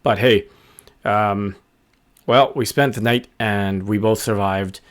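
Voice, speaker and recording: A man voicing a big, tired, grumpy but soft-hearted wolf in a children's story, with weary grumbling. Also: fairly low pitch, steady tone, slow. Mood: softened, relaxed.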